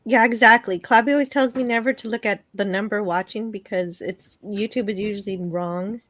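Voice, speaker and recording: A woman chatting on a casual online show, friendly and conversational. Her pitch sits at an ordinary middle for a woman. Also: steady tone, a little fast, fairly bright tone, dry recording. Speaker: co-reader on the show. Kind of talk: casual livestream chat.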